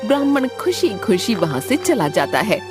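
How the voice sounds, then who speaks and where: Woman narrator, scripted story reading, animated intonation, background music. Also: medium pitch, moderate pace.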